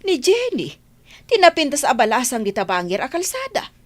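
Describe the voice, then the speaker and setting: A woman's voice: high voice, huge pitch swings, moderate pace, heavily acted. A woman playing a fussing, opinionated middle-aged village mother of a grown son in a radio drama. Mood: curious, regretful.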